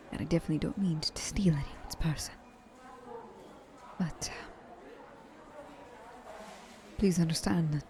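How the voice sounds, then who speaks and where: A woman's voice: on the low side, mellow, slight background noise. A woman playing a wealthy, thousand-year-old vampire in an ASMR roleplay, speaking to the listener in a genteel, courteous yet condescending manner. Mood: awkward, apologetic.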